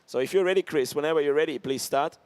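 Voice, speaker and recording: A man's voice: a little high, fast talker, a little mellow, dry recording. A man with an Austrian accent doing live motorsport co-commentary, cheerfully steering the pit lane reporter. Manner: upbeat, directing the pit reporter. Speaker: race co-commentator.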